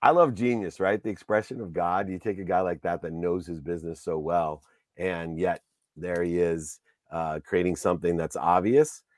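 A man's voice: sits low, fairly even intonation, moderate pace, a little mellow, no echo. A man hosting a casual interview, talking in an enthusiastic, rambling way and heaping praise on his guest. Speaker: podcast host.

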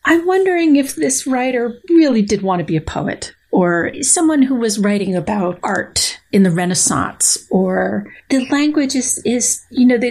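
A woman speaking as a podcast guest, measured and thoughtful as she reads and comments. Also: medium pitch, darkish tone, low-quality recording. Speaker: guest biologist. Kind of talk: podcast conversation with dramatic readings.

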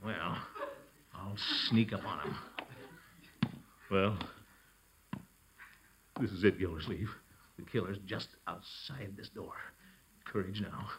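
An actor playing a blustering, jittery middle-aged amateur sleuth in 1940s radio comedy, muttering to himself and breaking into theatrical exclamations. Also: male voice, low-pitched, takes it slowly, long pauses, background noise. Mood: shaky bravado. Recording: old radio broadcast with music behind.

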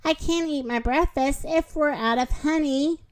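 A woman's voice: sits high, measured pace, a little hiss or hum. A woman voicing an eager young boy in a children's story who loves honey.

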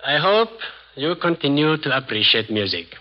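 A man appearing as a guest on a radio quiz, putting formal, measured questions to children in a slightly halting way. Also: fairly high pitch, big pitch swings. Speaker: guest musician quizzing children. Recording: dull lo-fi vintage radio recording.

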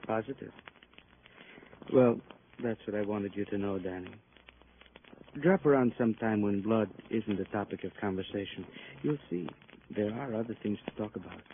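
Male actor for easygoing American radio-drama police colleague, lowish voice, gently teasing, thin hissy old recording. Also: moderate pace, long pauses, narrow, tinny audio, lightly acted. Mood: matter-of-fact. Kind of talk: 1950s radio crime drama acting.

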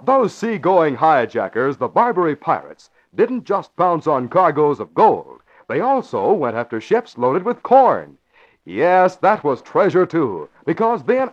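A man's voice: a little high, huge pitch swings, a little slow. A male announcer and narrator of an old radio show, delivering sponsor lines and narration in an emphatic, declamatory way. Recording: muffled old radio broadcast.

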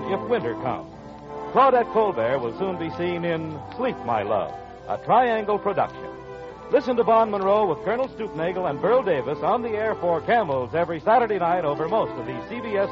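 A man announcing formally and emphatically in polished 1940s radio style. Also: high-pitched. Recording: muffled 1940s radio broadcast. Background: music.

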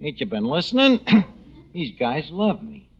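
Brash New York tavern manager, lively swoops. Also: male voice, on the high side, measured pace, slightly rough, exaggerated voice. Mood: pleased, proud. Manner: streetwise, ungrammatical, pompous with malapropisms. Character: brash, self-important tavern manager hosting his own testimonial. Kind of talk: 1940s radio comedy acting. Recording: dull old radio recording, audience behind.

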